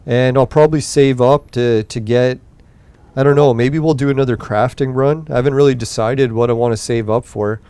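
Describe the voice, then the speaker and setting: A young man with a smooth, clear voice and a tiring edge, rambling and cursing casually as he hosts a gaming show late at night. His sound is dry and close, with no room around it. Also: mid-range voice, darkish tone, no room sound. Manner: rambling, profane, laid-back. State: voice getting tired late at night. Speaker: gaming podcast host. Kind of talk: casual gameplay commentary.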